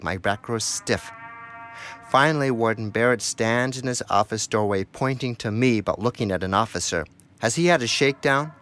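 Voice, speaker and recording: A man's voice: on the low side, a bit bright. A man narrating a documentary in the first person as its reporter, reading from a script.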